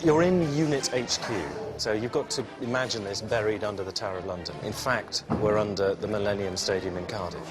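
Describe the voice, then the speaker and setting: A man's voice: lowish voice, a little fast, few pauses, loud music behind the voice. A man explaining a film set in an interview.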